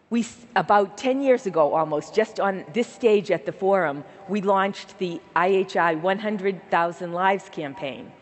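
Woman, 60s, slight Boston accent, earnest keynote speaker, husky voice, reverberant conference hall. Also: medium pitch. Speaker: keynote speaker, organization leader. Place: large conference hall stage.

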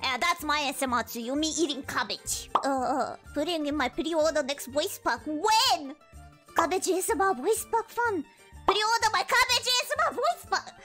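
A woman with an extremely high, bright, childlike voice chats on a livestream. She is playful and excitable, with pitch that swings widely and often jumps into squeals. Light music plays underneath. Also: moderate pace. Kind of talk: casual chat with livestream viewers.

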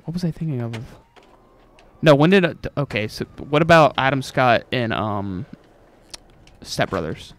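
A man's voice: medium pitch, animated, measured pace, pauses often. A young adult man hosting a gaming video, chatting casually over gameplay in slangy, informal language with some swearing.

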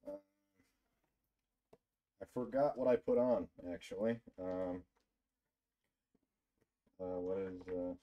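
A man's voice: low voice, slow pace, pauses often, highs cut off. A man chatting casually and jokily to viewers as he livestreams a video game. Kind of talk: casual livestream gameplay chat.

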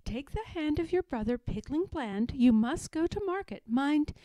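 Woman as motherly sow, storybook character, exasperated instructing manner, high pitch, very animated. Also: moderate pace. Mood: flustered, stern. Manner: admonishing, instructing. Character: fussy, sighing motherly old sow. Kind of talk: storybook read-aloud.

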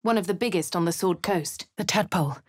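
An actress voicing a posh young woman adventurer in a video game, with a husky, refined delivery. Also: lowish voice, brisk, dry recording, natural voice. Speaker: player character. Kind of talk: video game narration and dialogue. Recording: dry studio booth.

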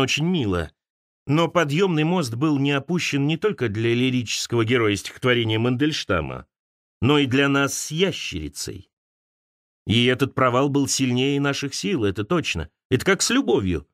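A man's voice: mid-range voice, no room sound. Male literary narrator, wry and theatrical, very varied intonation, dry studio-like sound. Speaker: audiobook narrator. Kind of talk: expressive literary audiobook narration of a first-person essay.

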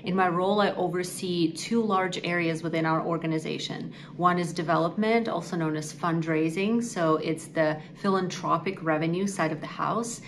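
A woman's voice: on the low side, moderate pace, few pauses, slight background noise. A woman, speaking earnestly and articulately to camera in a prepared interview. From Ukraine. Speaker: nonprofit executive. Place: indoor office against a wall.

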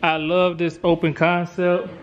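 High-voiced American man vlogging casually. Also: flat delivery, unhurried, dull audio. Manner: informal, chatty. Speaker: family vlogger. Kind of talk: casual house tour narration. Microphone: handheld vlog camera at arm's length. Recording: handheld camera with clear background noise.

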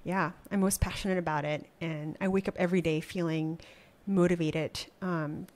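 A woman in her thirties from Malaysia, a startup founder answering interview questions reflectively with many pauses and restarts, speaking into a desk podcast microphone in a small studio. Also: on the low side, steady tone, a little mellow. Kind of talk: conversational interview. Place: small studio with wooden wall.

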